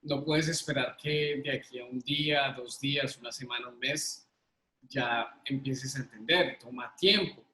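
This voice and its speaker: A man in his thirties with a Colombian accent, telling personal stories casually and haltingly, often repeating words. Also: mid-range voice, pushed and bright. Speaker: interview guest. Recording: roomy, echoing sound.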